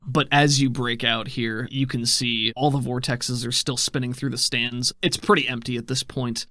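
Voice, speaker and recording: A man's voice: medium pitch, level tone, moderate pace, pushed and bright, dry recording. Dry-sounding man narrating as game master.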